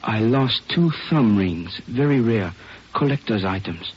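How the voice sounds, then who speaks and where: A courteous, injured gentleman dealer in an old radio police drama. His voice is very raspy and fairly low, his wording formal and careful, with hesitations. The recording sounds muffled and dated. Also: animated, unhurried. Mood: pained, courteous. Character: courteous injured Chinese male jade dealer, formal, pained. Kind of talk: 1950s radio drama acting.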